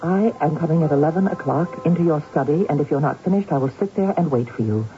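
An actress playing a firm Victorian wife. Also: female voice, sits low, quick pace, soft background music, natural voice. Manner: firm, insistent. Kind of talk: old radio drama acting. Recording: dull old radio broadcast.

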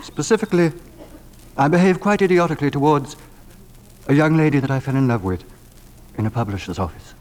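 An actor playing a suave, flirtatious gentleman artist in 1940s radio drama, smooth, teasing and theatrically ardent. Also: a little high, brisk, pauses often, lightly acted. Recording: faintly noisy vintage radio broadcast.

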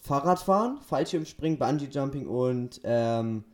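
A young man co-hosting a podcast in casual chat, telling stories haltingly and often correcting himself. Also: medium pitch, lively intonation, a little mellow. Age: teenager or young adult. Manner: halting, self-correcting storytelling. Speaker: podcast co-host.

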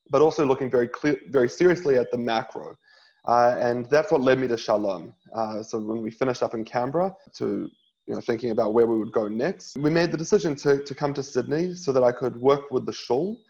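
A man in his thirties from New Zealand, a rabbi telling his life story as an interview guest in an earnest way, often pausing on uh. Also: medium pitch, darkish tone, highs cut off. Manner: earnest, many filler uhs, restarts.

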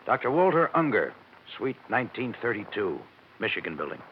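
An American actor playing a brisk, efficient insurance executive in 1950s radio drama, matter-of-fact with a folksy turn. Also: male voice, lowish voice, sing-song. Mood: businesslike. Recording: dull, faintly noisy 1950s radio recording.

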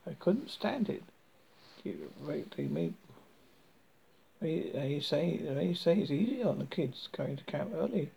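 A man with a husky, creaky voice reading a first-person story dramatically, haltingly and stumbling over words as a horror host. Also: a little high, wildly swooping pitch, warm tone.

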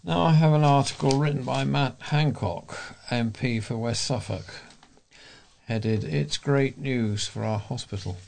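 A man's voice: mid-range voice, unhurried, vocal fry, darkish tone, a little hiss or hum. A man reading local news articles aloud as a volunteer reader, in a formal delivery.

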